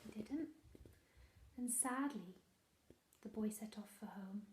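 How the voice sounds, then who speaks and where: Slow-reading woman telling a children's story. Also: mid-range voice, roomy sound, a little hiss or hum. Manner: expressive, deliberate, with hushed asides to listeners. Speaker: primary school teacher reading a picture book. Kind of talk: storybook read-aloud to young children.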